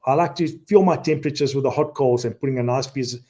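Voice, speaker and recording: A South African man in his fifties speaks with a warm, mellow voice at a middle pitch. He talks earnestly in a relaxed podcast conversation, often repeating a word as he gathers his thoughts. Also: quick pace. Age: 50s. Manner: earnest, repeats words while explaining. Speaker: safari outfitter guest.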